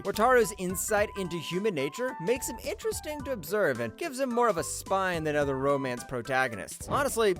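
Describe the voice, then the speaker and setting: A man reading a scripted countdown narration in a flippant, emphatic, wryly joking way. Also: a little high.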